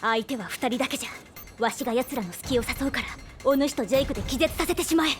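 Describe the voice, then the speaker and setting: A small, bossy anime-game creature voiced by a woman, speaking high and imperiously in quaint old-timer phrasing. Clear music plays underneath. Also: fast talker, lightly acted. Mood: commanding. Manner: imperious, old-fashioned elderly turns of phrase. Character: bossy little female creature companion with old-timer speech. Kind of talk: Japanese anime game voice acting.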